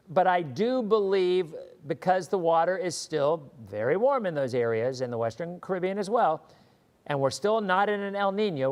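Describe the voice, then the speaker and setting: A hoarse man hosting a live weather show, chatting casually and answering viewer questions, often repeating words as he thinks. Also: fairly high pitch, a little mellow.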